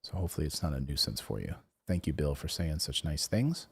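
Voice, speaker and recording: A man hosting a live investing show, chatting casually, rambling with lots of fillers and restarts, his voice going hoarse. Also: very deep voice, big pitch swings, a little fast. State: losing his voice. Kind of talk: casual live-stream chat, reading viewer comments.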